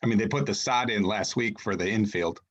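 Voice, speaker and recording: A man with a low voice chats casually on a group call. His audio is dull and lo-fi. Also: brisk. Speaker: meeting attendee, baseball booster club member. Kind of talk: casual chat.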